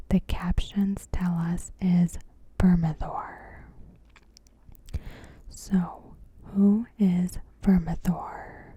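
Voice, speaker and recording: Very slow, breathy whispering woman. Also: lowish voice, no echo. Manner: hushed, unhurried, measured delivery in short phrases. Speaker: ASMR host. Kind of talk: ASMR, softly spoken TV episode commentary.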